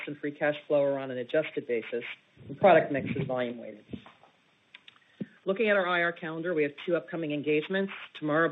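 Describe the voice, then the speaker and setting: Dull-sounding corporate woman reading, low-pitched. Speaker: investor relations executive. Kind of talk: formal scripted corporate reading. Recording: dull, faintly noisy conference call playback.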